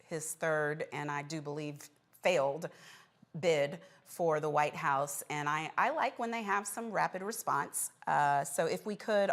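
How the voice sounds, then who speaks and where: A woman discussing politics casually as a panelist, in a halting, conciliatory manner. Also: low-pitched.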